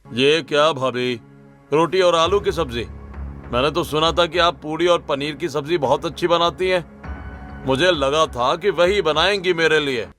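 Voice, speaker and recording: A man's voice: a little high, normal speed, a bit bright, soft background music, natural voice. A man voicing a greedy, demanding son-in-law in a cartoon, with a complaining, entitled manner. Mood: grumbling, picky.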